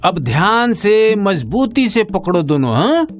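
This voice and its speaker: A man playing a stern but kindly village farmer father in a cartoon moral story, with an expressive rustic drawl. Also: high voice, measured pace, faint music behind. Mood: instructive, patient. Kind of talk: animated moral story acting.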